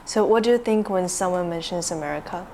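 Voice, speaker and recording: A woman's voice: lowish voice, a little fast, dark tone, slight background noise. A woman moderating a student discussion, asking brief questions. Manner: brief, matter-of-fact questions.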